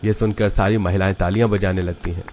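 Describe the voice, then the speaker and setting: A man's voice: low voice, level tone, fast, a little mellow. A man narrating a scripted story as its storyteller.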